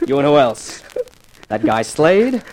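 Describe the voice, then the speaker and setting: Lively, cocky radio hero, noisy recording. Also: male voice, mid-range voice, slow. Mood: taunting, menacing. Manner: wry, taunting, hard-boiled. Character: cool, cocky, hard-boiled male amateur sleuth. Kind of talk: 1950s radio drama acting and first-person narration.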